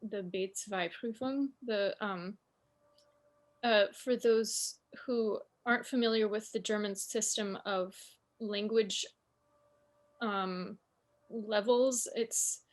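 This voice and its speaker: A woman's voice: mid-range voice, fairly even intonation, slow pace. A woman who sings opera, speaking on a panel and giving earnest, explanatory advice. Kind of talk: casual panel discussion. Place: living in Germany.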